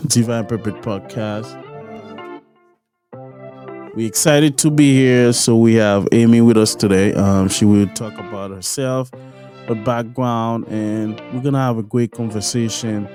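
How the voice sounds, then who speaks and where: A man's voice: on the low side, steady tone, a little slow, a little mellow, faint music behind. A man from Haiti hosting a casual podcast interview, often stopping and restarting his sentences. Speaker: podcast host.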